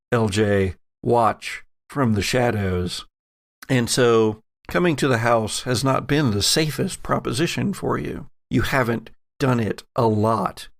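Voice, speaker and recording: A man narrating a tabletop horror game as its game master, in a measured, dramatic storytelling manner. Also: medium pitch, creaky, no echo, clean studio sound. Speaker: game master and podcast host.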